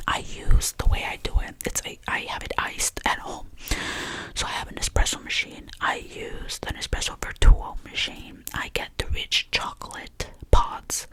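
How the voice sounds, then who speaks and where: A woman, whispering softly through a chatty, rambling ASMR talk about her favourite things. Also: no room sound. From Albania. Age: 20s. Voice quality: soft unvoiced whisper. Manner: rambling and chatty, with many fillers. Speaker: ASMR creator.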